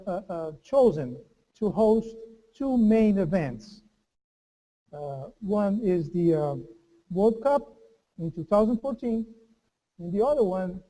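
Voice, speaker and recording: A man giving a conference speech as a chamber of commerce director, halting, with many fillers and restarts. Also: high-pitched, warm tone, rough recording.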